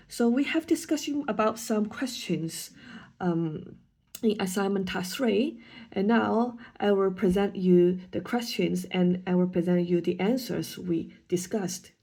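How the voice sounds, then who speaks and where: Slow, hesitant woman with a Chinese accent. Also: mid-range voice, mellow. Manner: hesitant, frequent ums and restarts. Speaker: student teacher presenting an assignment. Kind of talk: prepared class presentation.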